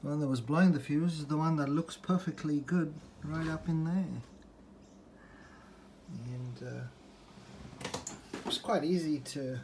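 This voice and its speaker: A man's voice: fairly high pitch, a little slow, pauses often, darkish tone. Man explaining over background noise. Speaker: hobbyist restorer presenting a repair. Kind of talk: informal unscripted workbench walkthrough. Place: indoors at a desk in a room. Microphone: handheld phone or camera, behind the lens. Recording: handheld phone video with background noise.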